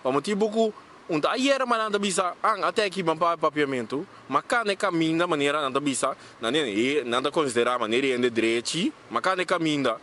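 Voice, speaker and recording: A man's voice: fairly high pitch, animated, a little fast, sharp tone, slight background noise. A man giving an earnest statement, building his argument.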